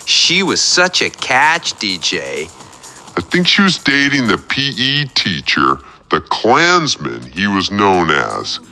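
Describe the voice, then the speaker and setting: A man's voice: fairly low pitch, wildly swooping pitch, slow pace, sharp tone, exaggerated voice. An actor playing a lonely, self-pitying man who calls a radio show to confess his troubles in a rambling way. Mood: bitter wistful. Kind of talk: radio play confessional phone-in. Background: music under the voice.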